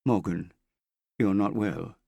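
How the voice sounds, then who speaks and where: A man reads the part of a kindly young girl, timid and careful. The delivery is gentle, soothing and a little apologetic, in audiobook style with a dry, close sound. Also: lowish voice, fairly even intonation, takes it slowly, gravelly, dry recording, pitched above the performer's own voice, full character voice. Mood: timidly concerned. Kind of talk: audiobook character acting.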